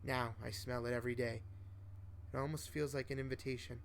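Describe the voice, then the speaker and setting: A man reading a first-person horror story aloud as host, in a solemn, measured, dramatic storytelling style. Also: medium pitch, steady tone, creaky. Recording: noticeable faint background noise.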